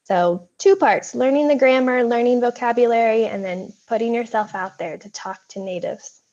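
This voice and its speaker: A woman, a native English speaker, talking in a relaxed, thoughtful way with frequent ums during an online language exchange. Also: a little high, moderate pace. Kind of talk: casual conversation. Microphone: video call. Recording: dull lo-fi video call audio.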